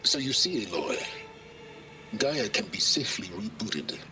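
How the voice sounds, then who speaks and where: An actor playing a condescending, cunning older male scientist in a video game, speaking in a haughty, eloquent, measured way. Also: on the low side, big pitch swings, distant mic, noisy background. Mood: smug, lecturing. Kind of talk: video game dramatic acting.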